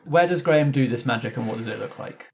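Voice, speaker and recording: A man's voice: mid-range voice, fast, creaky. Man running a tabletop roleplaying game. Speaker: game master.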